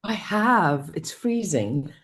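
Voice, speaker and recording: A woman's voice: low voice, sing-song, takes it slowly, fairly bright tone. A woman chatting casually as a participant on a video call. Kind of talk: casual chat.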